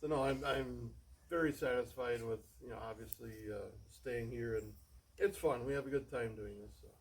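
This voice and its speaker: A man in his forties who volunteers as a parish cook, chatting in a relaxed way with dry humor and hesitant fillers. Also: mid-range voice, normal speed, slightly rough, rough recording. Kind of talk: casual chat.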